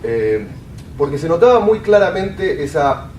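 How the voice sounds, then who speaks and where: A man's voice: fairly high pitch, sing-song, darkish tone. Hesitant man asking from the audience. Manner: halting, searching for words, many "digamos" fillers. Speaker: audience member asking a question. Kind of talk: question from the audience. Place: lecture room. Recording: roomy hall, speaker far from the mic, faint hiss.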